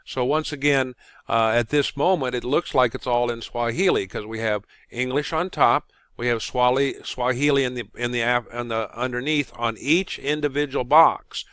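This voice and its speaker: A man presenting an informal screen-recorded tutorial, talking haltingly as he thinks aloud through each step. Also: mid-range voice, fairly bright tone, clear, resonant tone, no room sound.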